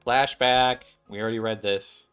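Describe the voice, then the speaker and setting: A man's voice: fairly low pitch, steady tone, moderate pace, fairly bright tone, clear, resonant tone. A man streaming a video game, reading its story dialogue aloud and now and then stumbling and rereading. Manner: stumbles over words and rereads. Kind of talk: gaming playthrough, dramatic read-aloud of story text. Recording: game music behind. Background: video game music.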